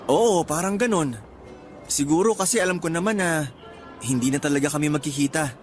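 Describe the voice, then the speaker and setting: A man's voice: fairly high pitch, fairly quick, faint music behind, lightly acted. An actor with a Filipino accent playing an earnest, wistful young man traveling abroad, in conversational radio drama dialogue.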